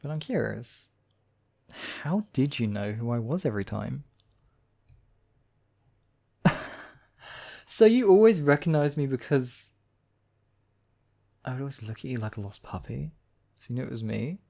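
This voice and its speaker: A man performs a demon king devoted to his beloved, with a soft, dark timbre full of gravelly creak. He speaks intimately and courteously, with a playful, teasing edge, in the manner of a romantic ASMR audio roleplay. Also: mid-range voice, animated, unhurried, pauses often. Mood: fond, teasing.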